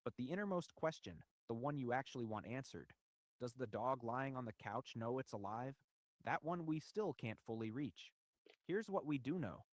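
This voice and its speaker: A man reading scripted documentary narration with measured, rhetorical, dramatic phrasing. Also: medium pitch, expressive, no echo. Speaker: video essay narrator.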